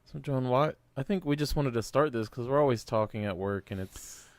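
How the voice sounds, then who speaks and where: A man's voice: mid-range voice, normal speed, darkish tone, no echo. A young man co-hosting a casual podcast, laid-back and agreeable, often backing his partner with short yeahs. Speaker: podcast co-host. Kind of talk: casual chat.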